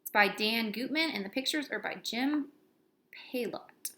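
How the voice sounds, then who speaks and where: A woman reads a children's book aloud with expression. Her voice sits a little high and has a bright, projecting edge. The room adds a noticeable echo around her words. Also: measured pace. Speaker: reader of children's books. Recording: roomy, echoey indoor recording.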